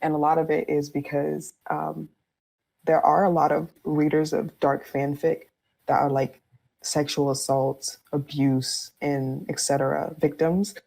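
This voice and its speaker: A woman with a low, husky voice and plenty of vocal fry talks casually on a podcast. She explains her views candidly, in loose, informal phrasing. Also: level tone, measured pace, a little mellow.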